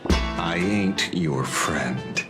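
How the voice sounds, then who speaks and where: An actor playing a curt, threatening, world-weary old mercenary in a video game. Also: male voice, low voice, drawn-out words. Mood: curt, menacing. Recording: music behind the voice.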